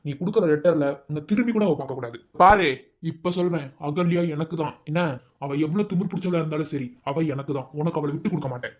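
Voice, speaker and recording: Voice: mid-range voice, fast, darkish tone, natural voice. A performer voicing a jealous, vengeful male college bully who keeps barking questions to browbeat a rival in a comedy sketch. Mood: menacing. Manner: bossy, drilling questions with "enna?". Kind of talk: comic sketch acting.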